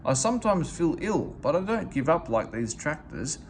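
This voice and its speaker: Wistful old traction engine, guy reading it, gentle, kinda high voice. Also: very animated, moderate pace, a little hiss or hum. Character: sad, proud old male traction engine.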